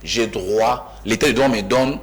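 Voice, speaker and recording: A man's voice: medium pitch, measured pace, a crowd in the background. Fiery man debating. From Kinshasa, DR Congo. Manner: insistent, interrupts, repeats himself emphatically, rising to near-shouts. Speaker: TV debate panelist. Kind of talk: heated studio debate.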